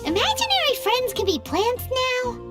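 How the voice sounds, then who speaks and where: A woman voicing a tiny, excitable, blunt childlike fairy companion in a video game, with a squeaky voice. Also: moderate pace, heavily acted. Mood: curious, excited. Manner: speaks of self in third person, exclamatory. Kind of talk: video game character acting. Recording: game music behind the voice. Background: tinkly children's music.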